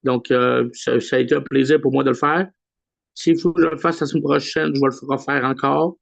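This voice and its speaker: A man with a Québécois accent hosting a weekly video call in a relaxed way, with many pauses and filler sounds. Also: medium pitch, vocal fry, no room sound. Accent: Québécois French. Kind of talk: casual live address on a video call. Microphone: computer mic on a video call. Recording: dull lo-fi video call audio.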